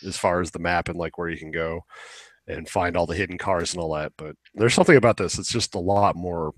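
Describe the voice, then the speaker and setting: A man's voice: low-pitched, fairly even intonation, a little fast, no echo. A young man chatting casually as a podcast co-host, opinionated and rambling, swearing now and then. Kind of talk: casual chat about video games.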